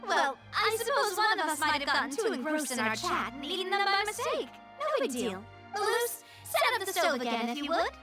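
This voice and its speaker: Young game heroine: high, very animated, gracious, playful, confident leader. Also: female voice, fairly quick, few pauses. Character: gracious, cheerful, confident young lady boss. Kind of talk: video game voice acting. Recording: music under the voice.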